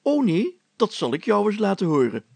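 A man voicing an excitable, self-important village mayor in a storybook, chatty, pompous and full of exclamations. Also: high voice, moderate pace, gravelly, breathy edge, dry recording, pitched above the performer's own voice, exaggerated voice. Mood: blustering, self-assured.

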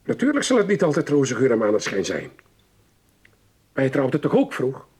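Placating, gently scolding husband and father, middle-aged, very hoarse-edged and animated, radio play. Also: mid-range voice, normal speed. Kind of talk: radio drama dialogue.

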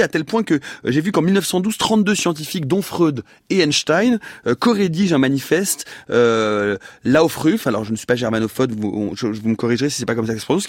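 A man hosting a radio science discussion, pausing often with fillers as he frames his questions. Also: medium pitch, dry recording, clean studio sound. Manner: hesitant, many fillers and restarts. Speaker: radio science show host. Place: radio studio.

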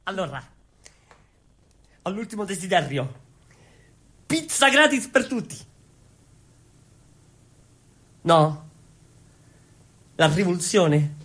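An excitable, swooping, high-pitched revolutionary dreamer. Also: male voice, slow, long pauses, breathy edge, exaggerated voice. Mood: pleading. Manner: frantic, clownish, exclamatory, repeats phrases. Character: excitable male dreamer revolutionary with magic balls, begging wishes. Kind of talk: theatrical comic storytelling for radio.

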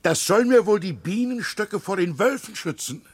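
An actor playing a frail, gentle old village pastor in a radio drama, anxious and musing, hesitant and sometimes sinking toward a whisper. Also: male voice, sits high, lively intonation, moderate pace, slightly rough. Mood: kindly, animated. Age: older. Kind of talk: German radio drama acting.

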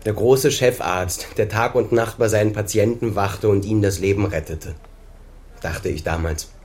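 An actor in a German radio crime drama playing a bitter, accusing son in his thirties, pointed and deliberate in his speech. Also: on the low side, a little mellow, close to an everyday voice. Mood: wistful, bitter. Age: 30s.

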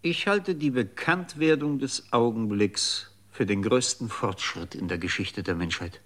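An actor in a radio drama playing a man who calmly and wryly explains the past. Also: medium pitch, unhurried. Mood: explaining. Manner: calm, explaining, wry.

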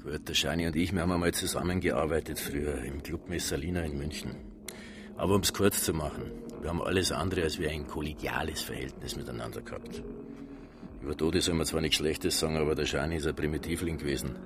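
An actor playing a slippery, self-important nightclub manager in a radio drama, cocky and evasive, with a Bavarian accent. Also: male voice, rumbling low, fast, background noise. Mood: scornful. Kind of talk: naturalistic radio drama acting.

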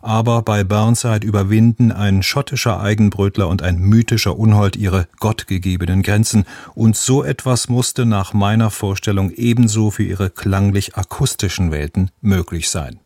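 A man's voice: fairly low pitch, fairly even intonation, normal speed, hardly any pauses, a little mellow, no echo, clean studio sound. A man presenting on the radio, reading a scripted introduction to a broadcast.

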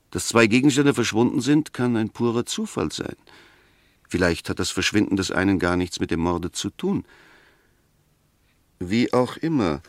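An actor playing a sceptical, gently ironic defence lawyer in a 1960s German radio play, arguing in a measured, precise and pointed way. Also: male voice, lowish voice, no room sound. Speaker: defence counsel.

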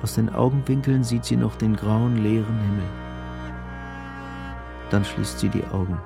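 A man narrating a radio drama in a grave, measured, scripted style. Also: lowish voice, fairly even intonation, creaky, music in the background.

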